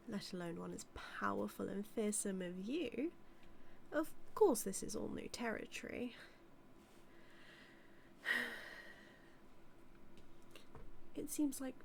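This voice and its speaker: A woman's voice: medium pitch, a little hiss or hum. A woman playing a motherly mad scientist villain with a British accent, speaking fondly and theatrically to her monster in an intimate audio roleplay. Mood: puzzled, concerned, then musing, self-doubting. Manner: fond, theatrical, musing, softly dipping into breathy asides. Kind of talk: scripted roleplay audio, intimate monologue to listener.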